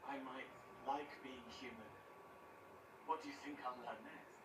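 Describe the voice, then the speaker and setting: An actor voicing a gentle, earnest young male spirit in a video game, speaking in hesitant, plaintive fragments. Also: mid-range voice, fairly even intonation, unhurried, lightly acted. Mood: hopeful wonder. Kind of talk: video game character acting. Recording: roomy, distant game capture, loud background noise.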